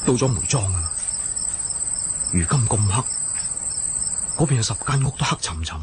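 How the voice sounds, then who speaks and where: A man's voice: medium pitch, animated, moderate pace, background noise, natural voice. An actor playing a cocky young swordsman escaping a dungeon, talking theatrically to himself in a radio drama. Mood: elated, resolute. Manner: theatrical, talks to himself, chuckles. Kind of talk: Cantonese radio drama acting. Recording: echoey hall-like reverb, music behind.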